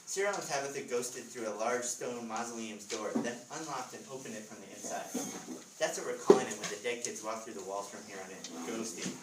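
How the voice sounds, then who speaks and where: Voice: mid-range voice, brisk. Echoey, distant narrator reading a story aloud. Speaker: author reading own book as narrator. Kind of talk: readers theatre narration with character dialogue. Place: live author visit, indoor room. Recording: roomy, distant mic with clear noise.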